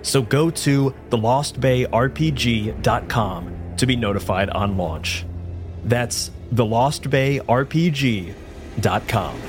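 A man delivers a scripted advertisement in a medium-pitched voice. There is faint ambient noise behind him. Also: takes it slowly. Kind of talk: scripted promotional read.

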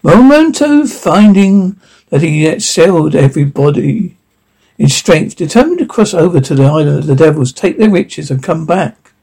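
A man reading a fairy tale aloud in a halting way, sometimes stumbling and correcting himself. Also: a little high, big pitch swings, a little mellow, slightly rough, rough recording. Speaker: story reader.

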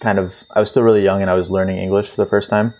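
A man's voice: low-pitched, little pitch movement, rapid-fire, a little mellow. A man in his twenties, born in Israel, casually telling family stories with frequent fillers. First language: Russian. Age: 20s. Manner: easygoing storyteller with fillers.

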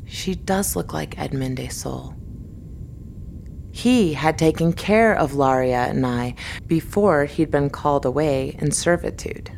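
Very low, unhurried female narrator, creaky. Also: darkish tone, slight background noise. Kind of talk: scripted dramatic story narration.

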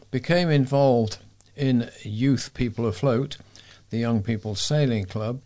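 A man reading scripted local news as a volunteer newsreader, in a measured way. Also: mid-range voice, a little mellow.

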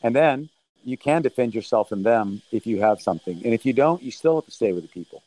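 A middle-aged man who grew up in Thailand talks earnestly about his relief work, keeping a steady, measured pace. His voice sits at an ordinary pitch for a man and is recorded close and dry, with no room echo. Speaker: relief mission leader. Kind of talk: remote interview answers.

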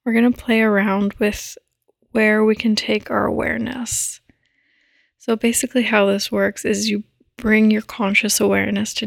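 A woman gently guiding a sleep meditation in a soft, breathy, soothing voice that often nears a whisper, close to the microphone. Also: on the high side, dry recording. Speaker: podcast host and meditation guide. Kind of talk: guided meditation, sleep podcast.

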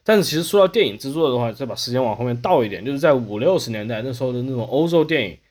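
A man speaks at a quick pace in a casual podcast discussion. His pitch sits in the middle range, and he explains things wordily, rephrasing as he goes. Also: lively intonation, a little mellow. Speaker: podcast host.

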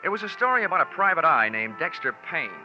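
An actor playing a wry, hard-boiled American insurance investigator in a 1940s radio drama, narrating with dry, deadpan wisecracks. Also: male voice, on the high side, quick pace. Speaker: lead actor narrating as the detective. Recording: muffled 1940s radio recording with music.

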